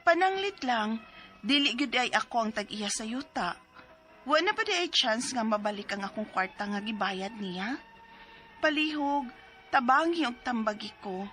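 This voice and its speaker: A woman's voice: on the high side, very animated, normal speed, fairly bright tone, soft background music. A woman with a Cebuano accent playing a distressed woman in her thirties, pleading for legal advice in a dramatized letter. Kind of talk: dramatized reading of a listener's letter.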